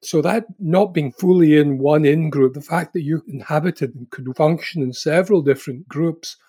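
Husky, fairly high-voiced man. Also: a little mellow. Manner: summarizing, encouraging. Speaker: podcast host. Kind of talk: interview questions.

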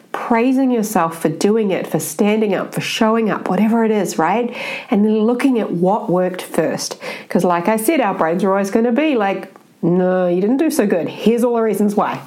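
A woman's voice: medium pitch, lively intonation, moderate pace, darkish tone. A woman with a blocked-up, congested nose, giving an earnest motivational talk on self-love and often asking "right?". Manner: earnest, keeps adding "right?". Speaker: self-help speaker.

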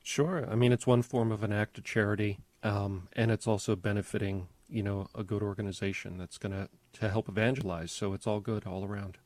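A man co-hosting a religious call-in radio show, answering questions in an earnest, measured way with frequent ums. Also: fairly low pitch, steady tone, a little mellow, no echo. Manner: earnest, measured, many fillers. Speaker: co-host, religious expert. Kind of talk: calm explanatory talk.

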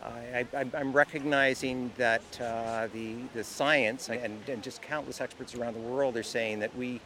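A man's voice: mid-range voice, steady tone, normal speed, pushed and bright. A man answers interview questions earnestly, hesitant at first and then steadier. He is recorded outdoors.

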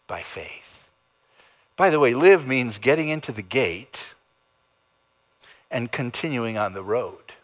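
A man preaching a sermon as pastor, in a dramatic style that swings between loud emphasis and soft, low endings. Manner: dramatic preaching with big swings in pitch and loudness. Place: church sanctuary.

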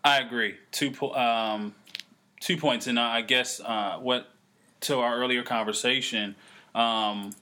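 A young man co-hosting a casual talk show, thinking aloud in halting phrases full of restarts and fillers. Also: medium pitch, steady tone, pushed and bright. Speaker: podcast co-host. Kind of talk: casual conversation.

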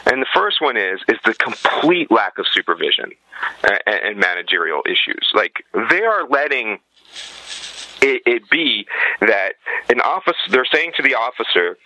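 A man's voice: mid-range voice, very animated, moderate pace, pushed and bright, dry recording, low-quality recording. A man giving blunt, emphatic opinions as a guest in a podcast interview.

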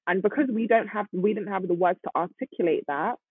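A young woman chats emphatically and animatedly as a podcast co-host. Her voice is husky and sits fairly high, and it comes through dry and tinny, like it's over a phone line. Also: a little fast, dry recording. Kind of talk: casual chat.

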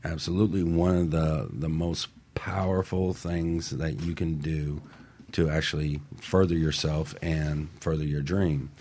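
Deep guy doing motivational radio show, emphatic, hammers his main points. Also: steady tone, measured pace, dark tone. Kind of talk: motivational talk radio discussion.

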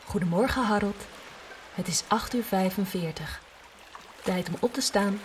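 A polite female home computer voiced by a woman makes formal, measured wake-up announcements in a futuristic radio play. Her pitch is ordinary for a woman, and faint noise sits behind her. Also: close to an everyday voice. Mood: polite, neutral.

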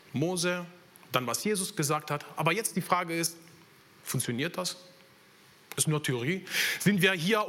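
A man's voice: a little high, bright, forceful tone. A young man from Italy with an Italian accent, preaching a sermon in earnest and sharing his own story. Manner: earnest, personal testimony with slips in German grammar.

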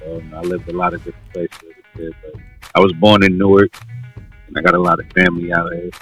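A man's voice: low-pitched, flat delivery, fast talker, a bit bright, breathy edge, faint music behind, rough recording. A man from New York, a guest in a casual chat, talking in a laid-back, slangy way with plenty of fillers.

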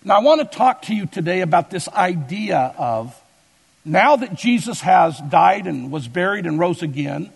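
Gravelly high-voiced preacher in a reverberant hall. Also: male voice, animated. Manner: deliberate preaching with emphatic slow stresses. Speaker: pastor. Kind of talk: sermon.

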